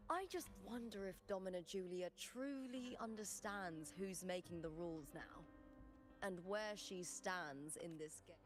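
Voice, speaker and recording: A woman's voice: on the low side, unhurried, over music, close to an everyday voice. A woman voicing a confident, poised female gladiator in a fantasy video game. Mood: cool, confident.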